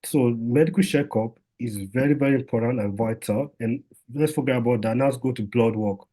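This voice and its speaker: Husky-voiced man giving a casual health talk. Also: mid-range voice, brisk, dark tone, poor audio. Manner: conversational, many fillers and restarts.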